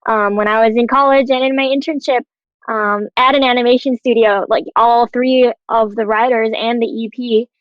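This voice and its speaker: A woman's voice: on the high side, fairly even intonation, moderate pace, no room sound. A young American woman chatting casually in a panel discussion, peppering her talk with filler phrases like "you know", on slightly dull audio. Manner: conversational, frequent "like" and "you know". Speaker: panelist, animation writer and actor.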